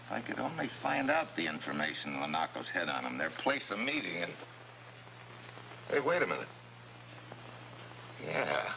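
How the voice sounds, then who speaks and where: Low-voiced tough American agent. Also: male voice, very animated, moderate pace, vocal fry, long pauses, exaggerated voice. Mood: brisk, probing. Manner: clipped, wisecracking. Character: tough, wry American male special agent. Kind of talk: 1950s television crime drama acting. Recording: dull, noisy old TV soundtrack.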